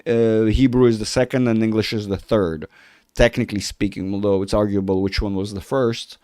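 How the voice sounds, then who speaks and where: A man from Israel whose first language is Russian talks loosely through a market livestream. He rambles, restarts often and gushes freely. His voice sounds smooth and resonant, and it is close and dry on the mic. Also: fairly low pitch, fairly even intonation, moderate pace, darkish tone, no room sound. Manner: rambling, self-correcting, effusive. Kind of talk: casual livestream chat.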